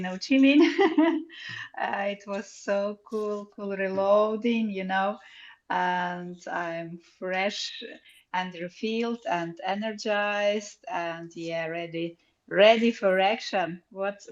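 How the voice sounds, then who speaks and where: A woman's voice: mid-range voice, dull audio. A woman with a Ukrainian accent chatting casually and cheerfully as a guest in an online audio room. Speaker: guest, digital artist. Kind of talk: casual chat. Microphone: live online audio room.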